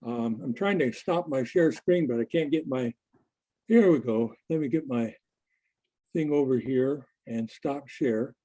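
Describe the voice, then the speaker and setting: A man giving a conversational presentation to a group in a halting, deliberate manner. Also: medium pitch, warm tone, poor audio. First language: English. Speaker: conference presenter. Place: online video meeting.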